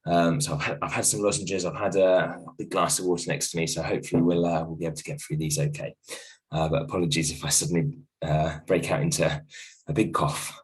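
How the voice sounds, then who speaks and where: A male teacher with a croaky voice from illness, giving a gentle, reassuring video lesson from home with many ums and restarts. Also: low voice, steady tone, a little fast, rough recording. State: croaky voice from a cold, coughing. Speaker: primary school teacher. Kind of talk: recorded video lesson for a class.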